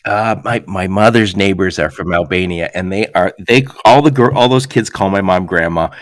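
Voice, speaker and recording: A man hosting a podcast interview, telling stories in a lively way and laughing often. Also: low voice, moderate pace, dry recording. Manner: animated storyteller, laughs often. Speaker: podcast host. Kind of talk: casual interview chat.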